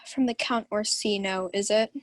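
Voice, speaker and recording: A woman's voice: medium pitch, fairly even intonation, measured pace, no room sound, natural voice, low-quality recording. A young woman playing a proud, commanding countess in a theatrical radio Shakespeare play. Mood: imperious. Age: young adult. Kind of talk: 1940s radio Shakespeare acting.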